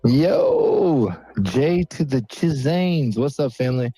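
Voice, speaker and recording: A man's voice: a little high, huge pitch swings, slow, dark tone, breathy edge, dry recording, faint music behind, low-quality recording. A man co-hosting a podcast in casual chat, slangy and calling everyone "bro". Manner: slangy, says "bro" constantly. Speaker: podcast co-host.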